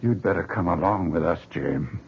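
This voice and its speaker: An actor playing a paternal, insistent admiral with concerned authority and emphatic delivery in a 1970s radio drama. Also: male voice, sits low, normal speed, slightly rough, exaggerated voice. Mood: fatherly. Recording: dull vintage radio recording.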